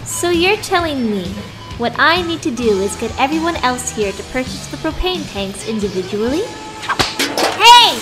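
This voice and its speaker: A woman playing a loud, demanding, confrontational female customer in an audio drama. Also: high-pitched, big pitch swings, moderate pace. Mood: brash. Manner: brash, confrontational. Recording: music under the voice.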